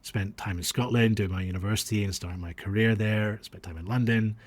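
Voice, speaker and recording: A man's voice: lowish voice, fairly even intonation, fairly quick. A man born in Australia who grew up internationally, telling anecdotes as a podcast guest in a reflective, hesitant, conversational way. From born in Australia, grew up across the US and Dubai.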